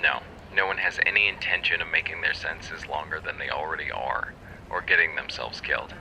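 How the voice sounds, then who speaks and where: An actor playing a blunt, practical police colleague in an audio drama, grim, clipped and matter-of-fact. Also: male voice, extremely low pitch, fairly even intonation, brisk, gravelly, pushed and bright, a little hiss or hum, exaggerated voice, rough recording.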